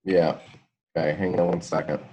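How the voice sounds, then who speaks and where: A man with a deep voice presents a product on a conference call. His delivery is informal and halting, with hedges and reworked phrases. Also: level tone, dark tone, muffled recording.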